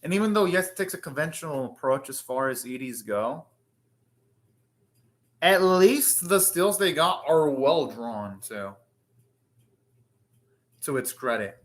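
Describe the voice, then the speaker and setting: A man's voice: on the high side, lively intonation, a little slow, long pauses. A man hosting an anime reaction, commenting casually and thinking aloud in short, rambling remarks.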